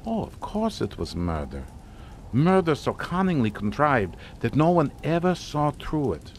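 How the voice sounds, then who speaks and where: Courtly, menacing count in radio suspense; formal, deliberate, richly varied melody. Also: male voice, a little high, sing-song, slight background noise. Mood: ominous, measured. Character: suave, sinister aristocratic Hungarian count and uncle. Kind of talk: radio suspense drama acting.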